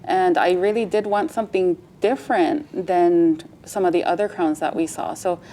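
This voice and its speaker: A woman's voice: lowish voice, steady tone, normal speed, dark tone. A woman, a guest mother, chatting casually in a TV interview and laughing as she tells her stories. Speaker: guest, mother of the powwow princess.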